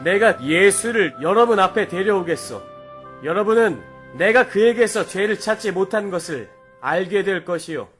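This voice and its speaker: Children's Bible drama: male actor as weary, authoritative Roman governor, high male register, formal declamation, faint music bed. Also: lively intonation, moderate pace, fairly bright tone. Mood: appealing. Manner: declamatory, formal old-fashioned speech.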